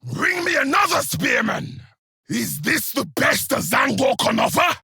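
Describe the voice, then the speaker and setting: A man voicing a battle-hardened, contemptuous Space Marine warrior in a video game, with a raspy, gravelly voice and forceful, scornful delivery. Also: very high-pitched, big pitch swings, unhurried, dry recording, clean studio sound, faint music behind, heavily acted. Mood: wrathful triumph. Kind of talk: combat kill barks from a video game.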